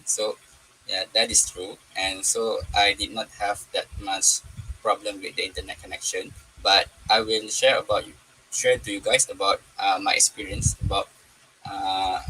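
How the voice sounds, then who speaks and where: A man's voice: on the low side, pushed and bright, slightly rough, rough recording. A young man on a forum panel answering a question with many hesitations and fillers.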